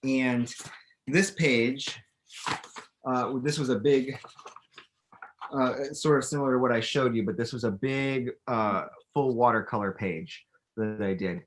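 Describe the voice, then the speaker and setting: A man's voice: mid-range voice, measured pace, pauses often, a little hiss or hum. A middle-aged man chatting casually as an author answering questions, thinking aloud and often restarting his sentences. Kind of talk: casual chat, explaining to students.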